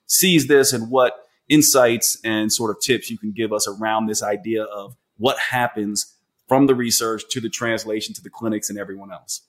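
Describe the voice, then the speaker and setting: A man's voice: on the low side, fairly even intonation, moderate pace. A man hosting a podcast, a clinician asking long, winding, earnest questions with restarts. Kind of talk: interview questions.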